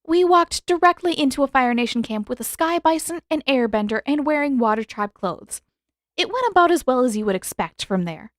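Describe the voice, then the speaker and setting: An indignant teenage girl from a fantasy storybook, acted by a woman narrator. Her voice rides high and swings widely as she scolds with sharp, emphatic retorts. The recording is dry and close. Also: lively intonation, brisk, no echo. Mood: sardonic.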